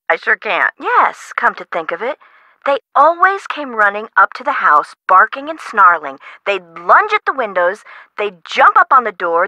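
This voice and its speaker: Casting: dramatic, easily rattled woman homeowner for a game; high, very bright voice, colloquial delivery. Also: dry recording, muffled recording, heavily acted. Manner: emotive, expressive, colloquial. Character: frightened, dramatic woman homeowner, chatty and friendly. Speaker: voice actress in an adventure video game. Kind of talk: game character dialogue acting.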